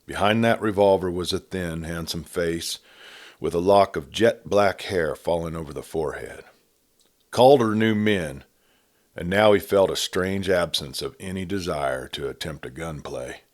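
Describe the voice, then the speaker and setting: A man reading a Western novel aloud as a storyteller, with a measured, steady delivery. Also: low-pitched. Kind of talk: audiobook narration of a Western.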